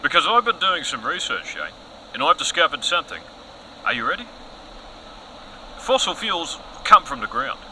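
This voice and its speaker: An actor playing an overzealous, self-important news anchor in an audio comedy, pompous and melodramatic with emphatic pauses. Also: male voice, a little high, big pitch swings, bright, forceful tone, slight background noise, full character voice, low-quality recording. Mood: earnest, pressing. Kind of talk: mock current-affairs broadcast, scripted audio comedy.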